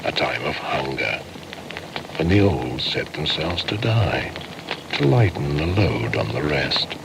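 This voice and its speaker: An actor playing an ancient pagan shaman spirit in a radio drama, solemn and incantatory, proud and taunting, sometimes dropping into a breathy whisper. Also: extremely low pitch, big pitch swings, slow, exaggerated voice. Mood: grim. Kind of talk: dramatic radio play monologue. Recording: heavy background noise and ambience.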